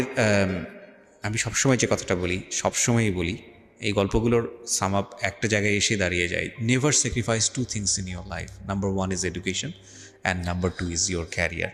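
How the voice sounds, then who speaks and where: Reflective male host, echoing hall. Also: lowish voice. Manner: measured, advising, mixes English phrases into speech. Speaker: radio show host. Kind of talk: interview and reflective commentary.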